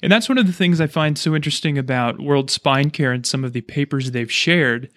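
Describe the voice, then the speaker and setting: A man's voice: a little high, fairly quick, fairly bright tone, no room sound, studio quality. A man hosting a casual interview, chatting and explaining things as he goes. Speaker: podcast host, chiropractor.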